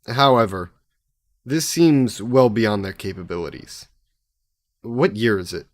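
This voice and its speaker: A man plays an ancient, possessive demon trapped in a necklace, a sly figure who taunts with a mocking, theatrical air. The voice sits low and unhurried. It sounds close and dry, with no room around it. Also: expressive, no echo. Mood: disdainful. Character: centuries-old male demon bound in a necklace, sly, possessive. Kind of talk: audio roleplay to the listener.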